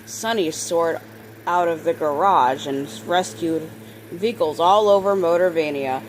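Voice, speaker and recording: A woman reading a children's book aloud, expressive on characters' lines and sometimes stumbling and correcting herself. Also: lowish voice, slight background noise, low-quality recording. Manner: halting reader, stumbles and corrects words. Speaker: storybook reader.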